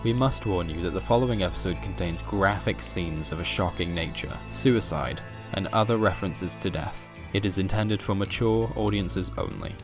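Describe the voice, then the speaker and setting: Deep-voiced man narrating horror fiction. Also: moderate pace, hardly any pauses. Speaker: narrator and host of a fiction podcast. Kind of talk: scripted horror story narration. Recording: music bed under the voice. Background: music.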